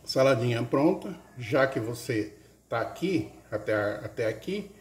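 A man's voice: medium pitch, animated, unhurried. A man cooking in his home kitchen, walking through each step with homely, diminutive-laden talk into a camera above the counter. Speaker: home cook, husband of the channel host. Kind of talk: casual cooking vlog narration. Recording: echoey kitchen with loud clatter of dishes.

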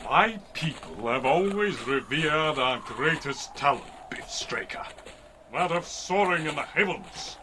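Proud falcon-man chieftain, high and slow, declamatory radio adventure serial, noisy backdrop. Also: animated. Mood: grand, proud. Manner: grandiose, declamatory. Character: boisterous proud winged falcon-man warrior leader. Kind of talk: old-time radio adventure serial acting.

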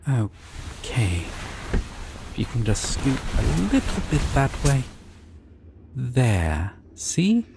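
A man voicing a shy, sweet, gentle dragon in an ASMR roleplay, speaking in a hesitant, tender and soothing way. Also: medium pitch, big pitch swings, takes it slowly, long pauses, a little hiss or hum. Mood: gentle, reassuring. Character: shy, sweet, gentle young male dragon, eager to please.

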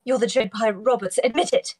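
A woman's voice: a little high, fairly even intonation, fast talker, a little mellow, husky. A woman playing a defiant, grieving young noblewoman, dramatic and accusing, in a live script read. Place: indoors, on a group video call.

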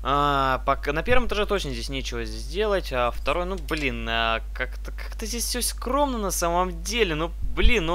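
A tired young man casually commenting as he plays a game, chatty and excitable, thinking aloud. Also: fairly high pitch. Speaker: let's play host.

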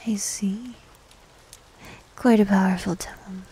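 A woman playing a needy, teasing succubus demon girl in an ASMR roleplay, speaking in a soft, breathy whisper with a coy manner. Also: mid-range voice, steady tone, slow pace, heavily acted, poor audio. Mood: coy, intrigued.

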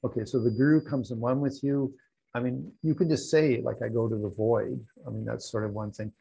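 Older male Buddhist teacher, gravelly and husky, explains patiently with asides, lapel mic at home. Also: mid-range voice, fairly even intonation, fairly quick, dark tone, low-quality recording. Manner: explanatory, restarts and asides, hands gesturing. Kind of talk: teaching an online class. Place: room at home with wall hangings.

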